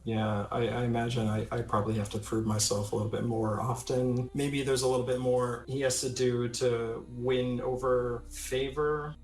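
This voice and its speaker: A man's voice: mid-range voice, flat delivery, moderate pace, hardly any pauses, a little mellow. A man playing a tabletop role-playing game, casually thinking aloud about his character. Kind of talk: casual improvised character discussion. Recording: roomy echo on the voice. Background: faint music.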